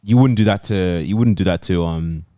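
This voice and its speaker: A man's voice: sits low, moderate pace, mellow, dry recording, clean studio sound. A man talking casually as a football panellist, giving his opinion in a debate.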